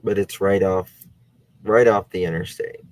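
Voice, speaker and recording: A man's voice: low-pitched, fairly even intonation, unhurried, darkish tone. A man hosting a true crime channel, thinking aloud in casual talk as he looks things up during a case discussion. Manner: thinking aloud while searching online.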